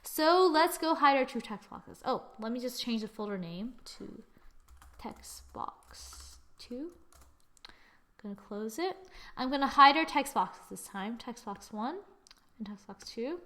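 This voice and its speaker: A woman hosting a screen-recorded software tutorial, chatting as she works and correcting herself with little exclamations. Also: on the high side, expressive, unhurried. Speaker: tutorial host.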